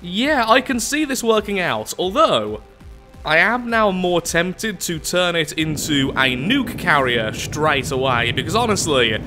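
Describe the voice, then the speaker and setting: Gaming host, male, lively and bright-toned, voice worn down by flu. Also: sits high, measured pace, soft background music. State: sick with the flu, losing his voice. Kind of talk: casual gameplay commentary.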